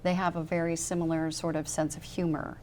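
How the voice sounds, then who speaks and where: A woman's voice: low voice, little pitch movement, a little fast, darkish tone, a little hiss or hum. A woman who acts on stage, talking fluently and thoughtfully about her role in an interview. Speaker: stage actress guest. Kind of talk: casual interview chat.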